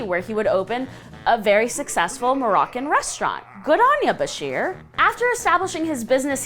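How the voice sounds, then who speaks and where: A woman's voice: high voice, a bit bright. A woman with an American accent hosting a scripted comedic countdown of creepy stories, theatrical, sardonic and slangy. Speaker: host of a web countdown show.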